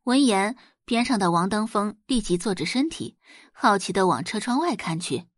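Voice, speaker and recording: A woman's voice: medium pitch, expressive, normal speed, dry recording, clean studio sound. A woman reading scripted dramatic narration as an audiobook narrator.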